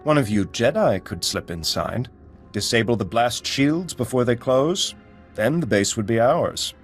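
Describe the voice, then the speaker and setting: Serious resistance leader, measured, game music behind. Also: male voice, medium pitch, expressive. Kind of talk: game cutscene voice acting.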